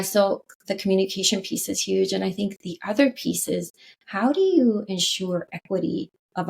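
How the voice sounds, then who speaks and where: Guest expert, Canadian woman, lowish gravelly voice, earnest and explanatory. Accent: Canadian English. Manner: earnest, frequent "right?" tags and restarts. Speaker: podcast guest, leadership consultant. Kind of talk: interview conversation.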